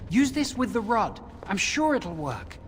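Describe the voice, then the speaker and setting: An earnest young initiate, lively pitch. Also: female voice, measured pace, big-room sound, slight background noise. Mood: urgent, hopeful. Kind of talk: video game voice acting. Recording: game music under the voice.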